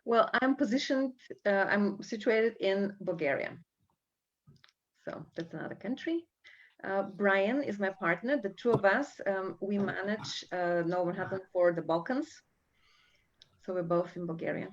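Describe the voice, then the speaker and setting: A woman hosts a live webinar from her computer, her speech full of ums and uhs as she gathers her thoughts. The call audio sounds dull and lo-fi. Her pitch sits in an ordinary middle range. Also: a little slow. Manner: hesitant, many fillers. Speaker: webinar host and coach trainer. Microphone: computer mic on video call.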